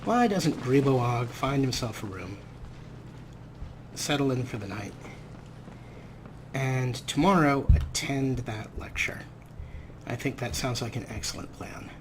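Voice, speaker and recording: A man's voice: mid-range voice, animated, background noise. A man hosting a let's play, giving casual, wry gameplay commentary as if half talking to himself. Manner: wry, unhurried, talks to himself.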